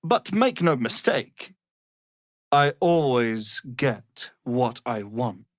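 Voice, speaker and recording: An actor playing an arrogant, power-hungry wizard villain in a fantasy video game, with a haughty, theatrical, condescending manner. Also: male voice, medium pitch, lively intonation, drawn-out words, no echo, clean studio sound. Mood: imperious, probing.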